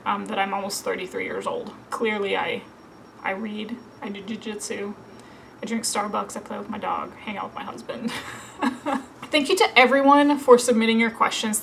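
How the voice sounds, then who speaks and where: A woman's voice: mid-range voice, vocal fry, a bit bright, a little hiss or hum, poor audio. A woman in her thirties from Minnesota, chatting casually to camera as a book vlogger, with lots of fillers and frequent laughs. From Minnesota, USA. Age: 30s.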